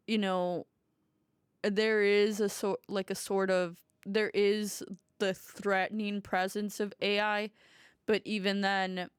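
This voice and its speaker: A woman's voice: mid-range voice, clean tone, dry recording, studio quality. A woman in her thirties hosting a casual podcast, talking in a chatty, rambling way with lots of "like" and easy giggles. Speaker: podcast host.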